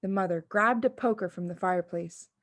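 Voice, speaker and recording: A woman reading a children's story as an expressive audiobook narrator. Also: medium pitch, brisk, no echo. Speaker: audiobook reader.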